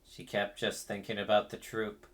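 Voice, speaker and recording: A man's voice: fairly low pitch, monotone, normal speed, in a whisper. A man reading game text aloud and commenting on it as a streamer.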